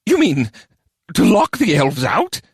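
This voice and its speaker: Squeaky little goblin advisor, nervous and stammery, way up high, fantasy audiobook. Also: male voice, sing-song, moderate pace, dry recording, clean studio sound. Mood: anxious, eager. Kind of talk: audiobook character voice.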